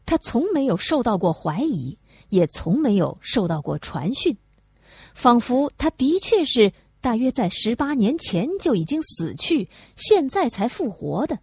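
Mellow, animated female audiobook narrator. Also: fairly high pitch, breathy edge, no room sound. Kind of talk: scripted literary narration, reading a classic novel aloud.

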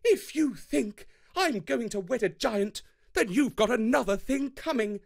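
A man voicing a scornful, sassy young goddess in a children's storybook, shrill and mocking. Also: animated, measured pace, creaky, exaggerated voice.

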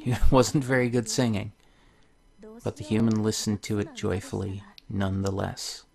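A man's voice: on the low side, little pitch movement, moderate pace, creaky, a little mellow. A man hosting a video game playthrough, commenting on the game and reading its story text aloud. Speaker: let's play host.

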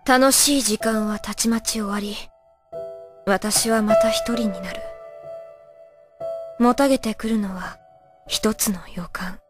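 A woman's voice: mid-range voice, steady tone, takes it slowly, pauses often, music in the background, close to an everyday voice. A woman playing a serious, brooding teenage shrine maiden in an anime audio drama. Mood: somber, resolute.

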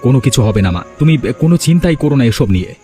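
A man's voice: a little high, sing-song, very fast, mellow. A man voicing a devoted, protective young son in a fairy-tale cartoon, questioning eagerly and offering reassurance. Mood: reassuring. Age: young adult. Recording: music behind the voice.

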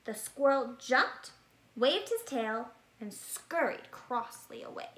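A woman reads a picture book to children. Her delivery is slow and deliberate, with very lively intonation and a bright, fairly high voice. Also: poor audio. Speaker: storybook reader. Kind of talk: expressive children's read-aloud. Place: small plain room indoors.

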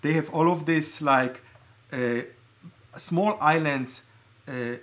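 A middle-aged man with a slightly high voice talks informally as he hosts a livestream from his desk. He is originally from Poland. His delivery is conversational and halting, with thoughts that often break off and restart. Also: a bit bright. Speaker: livestream host showing collected keyboards. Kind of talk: casual livestream show-and-tell. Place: indoor room at a desk.

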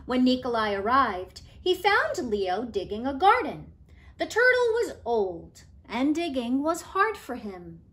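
Animated, high-voiced woman reading a storybook. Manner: expressive, deliberate phrasing. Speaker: teacher reading stories to pupils. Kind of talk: read-aloud children's storytelling. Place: room at home, indoors.

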